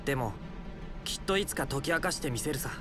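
An actor playing a thoughtful, earnest young swordsman in a Japanese video game, speaking in a gentle, reflective way. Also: medium pitch, lively intonation, quick pace, background noise, close to an everyday voice. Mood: earnest, determined. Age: young adult.

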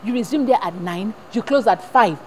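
A woman's voice: mid-range voice, animated, moderate pace, slight background noise, close to an everyday voice. An actress playing a worried mother who scolds and coaxes her grown son, switching between languages. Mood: exasperated. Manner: code-switching, scolding and coaxing. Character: worried middle-aged mother mediating at home. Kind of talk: emotional family drama acting.

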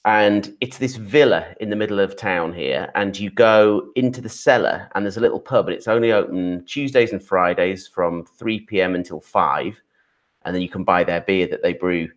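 A man's voice: deep voice, normal speed. A man in his thirties wearing headphones, telling a rambling, friendly story on a video call from home. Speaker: co-host. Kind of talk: casual chat on a video call. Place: room at home.

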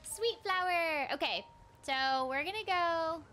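A young woman chatting casually and brightly animated while livestreaming a game. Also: very high-pitched, slow pace. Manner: bubbly, animated, giggly. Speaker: game streamer. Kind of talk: casual livestream gameplay chat. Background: game music.

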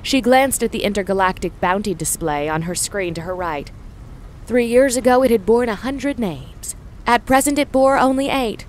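A woman's voice: a little high, moderate pace, a little hiss or hum, rough recording. A woman reading scripted story narration for an audio drama.

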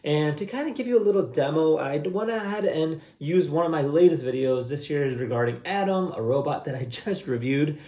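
Young man, higher-pitched voice, casual software walkthrough, conversational, upbeat, echoey room. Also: animated, brisk, few pauses. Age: young adult. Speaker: host of a software tutorial channel. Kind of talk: casual screen-share tutorial with review. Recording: roomy, echoey indoor sound.

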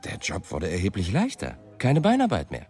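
A man's voice: low-pitched, huge pitch swings, moderate pace, creaky, a little mellow, faint music behind, heavily acted. An actor playing a brooding, self-doubting male writer and storyteller in a German radio play. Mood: animated storytelling.

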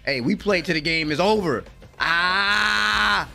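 A young American man giving excited, slangy, exclamatory live reaction commentary at a close desk mic in a room at home. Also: high voice, takes it slowly, gravelly, shouting, faint music behind. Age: young adult. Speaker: reaction video host. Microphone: close desk microphone.

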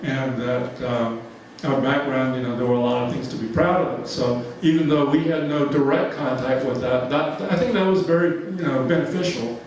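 A man's voice: mid-range voice, normal speed, few pauses, a little hiss or hum. A man in his seventies from Georgia giving an informal talk with slides, rambling with lots of fillers and frequent chuckles. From Georgia, USA. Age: 70s. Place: large church hall. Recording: echoey hall, mic far off.

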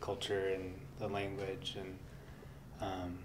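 A man in his thirties talking about his life in a relaxed interview, soft-spoken and rambling with lots of fillers. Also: deep voice, slow, background noise. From United States. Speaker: interviewee, guitarist. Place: indoor workshop studio.

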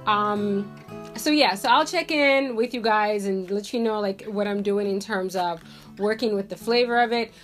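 Stuffy-nosed woman vlogging from her bedroom, chatty and rambly, soft guitar music under her. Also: medium pitch, moderate pace. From Jamaica. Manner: chatty, rambling, many "like" and "um" fillers. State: congested from a cold. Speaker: beauty vlogger. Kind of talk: casual chat to camera. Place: bedroom at home, indoors.

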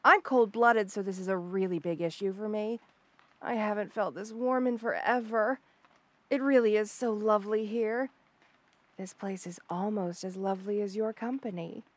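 A woman's voice: medium pitch, fairly even intonation, normal speed, close to an everyday voice. A woman voicing an obsessive, lovestruck rattlesnake lamia in an intimate audio roleplay, gushing and hesitant with coy stammers. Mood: chatty, admiring. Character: obsessive lovestruck female rattlesnake lamia, shy yet unsettling.

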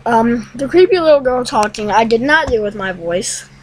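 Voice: medium pitch, lively intonation, moderate pace, a little hiss or hum, poor audio. A young teenager hosting a casual screen-recorded tutorial in a rambling, hesitant way. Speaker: tutorial host. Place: room at home.

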